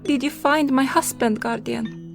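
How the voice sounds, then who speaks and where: Lady playing a worried village mum in fantasy audio drama, really slow, emotional, highish voice. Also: vocal fry. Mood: pleading. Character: poor, anxious mother of a village family, pleading. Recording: music behind the voice. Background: music.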